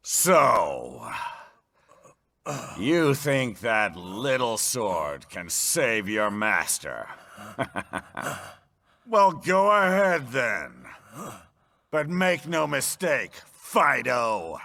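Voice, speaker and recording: Middle-aged male video game villain, menacing and taunting, very slow delivery with extremely dramatic swings in pitch. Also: huge pitch swings, bright, forceful tone, heavily acted. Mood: mocking, threatening. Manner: sneering, taunting, swaggering. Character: brash, menacing, contemptuous villain, powerful middle-aged man. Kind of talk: video game cutscene acting.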